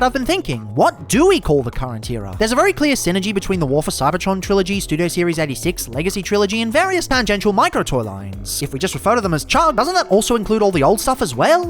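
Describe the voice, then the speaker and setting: A man's voice: sits high, a bit bright. A young man giving scripted enthusiast commentary as a review host, emphatic and informal. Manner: emphatic, informal, mild swearing.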